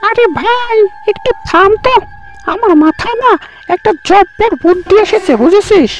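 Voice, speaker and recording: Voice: very high-pitched, normal speed. A performer voicing a cocky, greedy young village man in a cartoon, pushy and wheedling. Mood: eager, scheming. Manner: pushy, wheedling, dismissive of scruples. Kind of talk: cartoon dialogue. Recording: muffled dull audio with background music.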